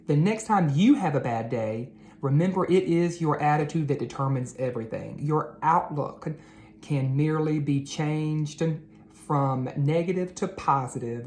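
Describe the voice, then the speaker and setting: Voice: medium pitch, unhurried, darkish tone, slight background noise. A read-aloud host narrating storybooks and talking to children in an encouraging, teacherly way.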